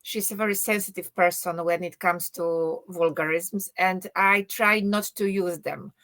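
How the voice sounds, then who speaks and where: A middle-aged woman, a university teacher speaking English as an in a relaxed interview, thinking aloud with many fillers and restarts and a joking tone. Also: lowish voice, a little slow. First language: Polish. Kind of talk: casual conversational English, non-native.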